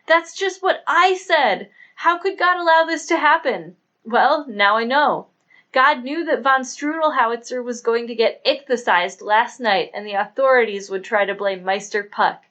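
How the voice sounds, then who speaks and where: A man's voice: extremely high pitch, measured pace, pushed and bright, voice pushed up, heavily acted. A man voicing a brash, stubborn young woman who argues emphatically in a colloquial way, in an audiobook. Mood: adamant.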